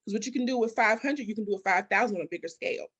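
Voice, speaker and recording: Female business coach as interview guest, mid-pitched, motivational and emphatic. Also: very fast, fairly bright tone, breathy edge. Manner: emphatic, repetitive, rhetorical questions. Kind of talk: conversational motivational interview answers.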